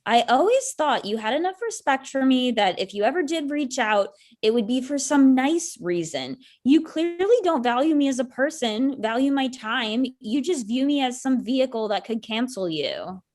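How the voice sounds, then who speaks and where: A woman's voice: high voice, expressive, normal speed, few pauses, a little mellow. An actress playing a sardonic, wounded ex-girlfriend in her thirties, with dry, pointed delivery in a comedic table read. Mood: resentful. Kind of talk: naturalistic comedic dialogue reading.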